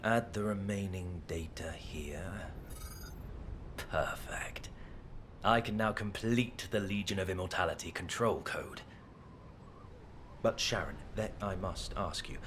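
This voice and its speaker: An actor playing a grave, calculating male schemer in a video game, speaking in a solemn, measured, deliberate way. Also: deep voice, noisy background. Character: grave, calculating male schemer staking his life on revenge. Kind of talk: dramatic video game dialogue.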